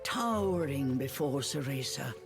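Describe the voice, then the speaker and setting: A woman narrating a video game like a storybook, in an expressive, measured way. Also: a bass voice, full character voice. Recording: music behind the voice.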